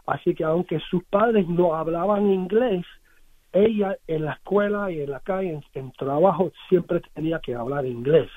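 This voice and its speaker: A man with an American English accent, his voice sitting fairly high, talks haltingly and often restarts his words. His first language is English. The sound is dry and narrow, like a phone line carried on radio. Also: dry recording. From United States. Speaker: musician guest. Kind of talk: radio interview, casual recollection.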